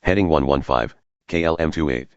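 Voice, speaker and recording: A man's voice: very deep voice, a little fast, no echo. A man giving clipped aviation phraseology over an air traffic radio transmission. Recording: dull, band-limited air traffic radio.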